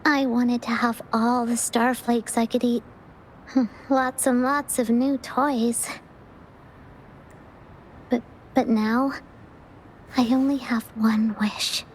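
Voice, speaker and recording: A woman voicing a shy, wistful young child in a video game, soft and hesitant, with an earnest, breathy delivery. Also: fairly high pitch, fairly even intonation, slow pace, long pauses, slight background noise, poor audio. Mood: sad, yearning. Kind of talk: emotional video game voice acting.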